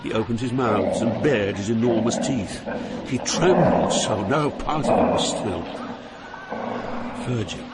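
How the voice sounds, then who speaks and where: A man playing an awed, solemn poet recounting a journey through hell, deliberate, with dramatic pauses. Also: mid-range voice, very noisy, lightly acted. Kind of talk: dramatized scripted narration.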